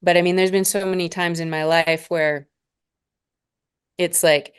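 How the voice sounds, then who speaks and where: Guest role: woman in her forties from Northern Alberta, low voice, calm unhurried spiritual talk. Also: smooth. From Northern Alberta, Canada. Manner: slow, measured, spiritual phrasing with pauses. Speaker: podcast guest, spiritual mentor. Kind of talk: reflective interview conversation.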